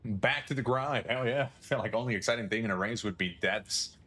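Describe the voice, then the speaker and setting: A man's voice: medium pitch, brisk, bright, forceful tone, a little hiss or hum. A male streamer chatting casually on a livestream, rambling, swearing and chuckling often.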